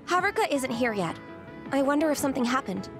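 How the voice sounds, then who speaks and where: A woman playing a sweet, polite young recruit in an anime game, gentle and tentative. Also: high-pitched, big pitch swings, quick pace. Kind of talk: English anime-game voice acting. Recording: music behind the voice.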